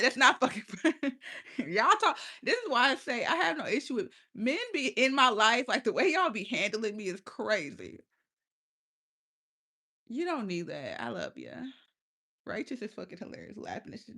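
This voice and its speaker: A woman's voice: on the high side, big pitch swings, normal speed, bright, forceful tone. A woman with a lisp, hosting a casual livestream. She chats loosely with her viewers, swears freely and often laughs. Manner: loose, profane and laughing, talks straight to chat. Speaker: livestream host.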